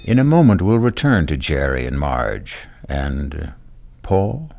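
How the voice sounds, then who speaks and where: A man hosting a radio drama, narrating in a deliberate, theatrical old-time style. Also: rumbling low, very animated, gravelly, darkish tone, no echo, faint music behind.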